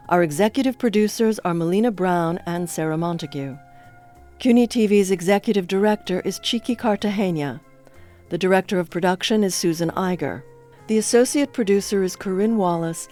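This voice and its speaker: A woman's voice: fairly low pitch, warm tone. A woman announcing podcast credits in a scripted reading. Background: faint music.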